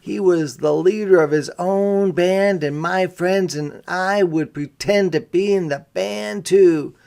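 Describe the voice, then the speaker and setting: Man, children's read-aloud, teacher, slightly higher pitch, unhurried pace, emphatic phrasing, explanatory asides. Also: vocal fry, darkish tone. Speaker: teacher reading a picture book aloud.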